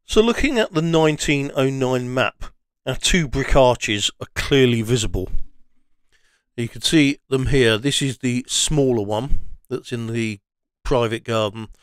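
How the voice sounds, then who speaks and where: A man giving an explanatory voice-over narration in a clear, smooth voice. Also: mid-range voice, measured pace, no room sound. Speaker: presenter of a canal exploring series.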